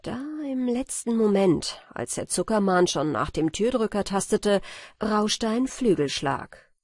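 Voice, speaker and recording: Woman, fairy-tale narration, medium pitch, soft rasp, deliberate and expressive, scripted storytelling. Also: no room sound, clean studio sound. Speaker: audiobook narrator.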